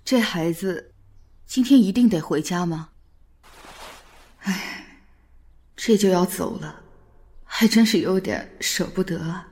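A woman's voice: on the low side, slow pace, pauses often, darkish tone, breathy edge. A woman playing a doting middle-aged grandmother in a modern Chinese audio drama, soothing and sighing. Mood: wistful.

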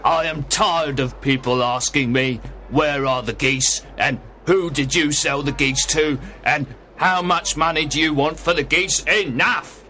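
Man playing a sharp, angry market salesman. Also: on the high side, a little slow, few pauses, a little hiss or hum, pitched above the performer's own voice. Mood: exasperated. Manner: gruff, combative, shouting. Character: angry, fed-up male market stall salesman. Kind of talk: acted audiobook dialogue.

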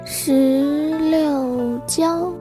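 A woman's voice: high voice, very slow, over music. A woman playing a modest, naive young servant girl, speaking deferentially in a Chinese period audio drama. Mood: polite.

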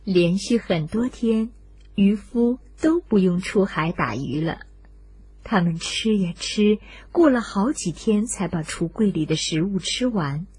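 Husky woman telling children's stories. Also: mid-range voice, lively intonation, measured pace, a little mellow. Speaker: children's story narrator and host. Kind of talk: scripted storytelling for children.

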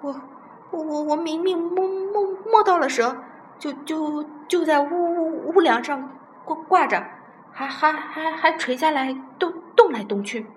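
A frightened little man from a children's tale, put on by a woman narrator. The voice is pitched high and stammers in panic, breaking up and repeating words. Some background noise sits behind it. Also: a little slow, low-quality recording. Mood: panicked, trembling.

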